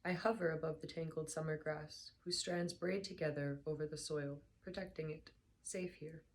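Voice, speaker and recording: Young woman, very low and gravelly, hoarse and tired, soft-spoken casual reader. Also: steady tone, moderate pace. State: hoarse, slightly lost voice. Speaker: book reader vlogger. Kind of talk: casual chat then reading aloud.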